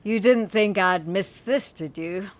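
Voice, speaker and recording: An American woman playing a poised, alluring middle-aged mother in a radio drama, speaking in a genteel, measured way. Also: medium pitch, a little hiss or hum, natural voice. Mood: fond, coy.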